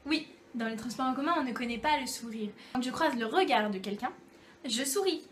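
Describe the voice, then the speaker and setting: Chatty high-voiced young woman vlogger. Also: animated, brisk, slight background noise. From France. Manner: chatty, playful, acts out little dialogues. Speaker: YouTube vlogger. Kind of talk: casual comic monologue to camera.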